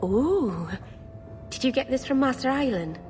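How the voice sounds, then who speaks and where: A woman's voice: sits high, animated, measured pace, darkish tone, in a large hall, faint music behind. An actress playing a chirpy, naive young elf woman in a game, eager and easily flustered. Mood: flustered, delighted.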